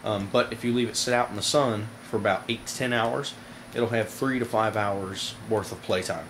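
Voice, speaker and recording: Low-voiced man, noisy home recording. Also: fairly even intonation, normal speed, fairly bright tone. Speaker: product reviewer, video host. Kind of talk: casual hands-on demonstration to viewers. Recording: noisy amateur camera audio.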